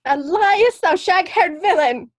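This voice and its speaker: Woman playing a high-voiced, plucky young boy. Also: lively intonation, takes it slowly, exaggerated voice. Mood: defiant. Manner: theatrical. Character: plucky young boy player acting a child. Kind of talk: stage-style audio drama acting. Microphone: video call recording.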